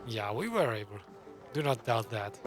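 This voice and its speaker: A man's voice: lowish voice, very animated, moderate pace, music in the background. A man hosting a gaming channel, making casual, reactive remarks over game cutscenes. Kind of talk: casual let's-play commentary.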